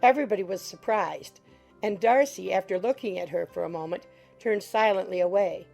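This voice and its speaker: A woman's voice: mid-range voice, fairly quick, vocal fry. A woman narrating a classic novel as an audiobook in a scripted literary reading style. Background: soft music.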